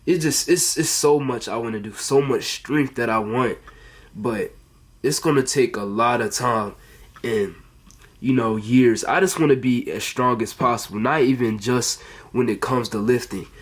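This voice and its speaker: A man's voice: medium pitch, measured pace, slightly rough. A teenage male with an American accent chatting casually to camera in an earnest, rambling and repetitive way. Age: teenager, under 18. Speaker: fitness vlogger. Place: bedroom at home, indoors.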